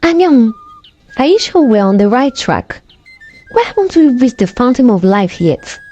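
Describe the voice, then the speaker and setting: A woman's voice: on the high side, sing-song, moderate pace, mellow, dull audio. Woman voicing Oge, earnest young village healer. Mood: worried urging. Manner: earnest, declamatory.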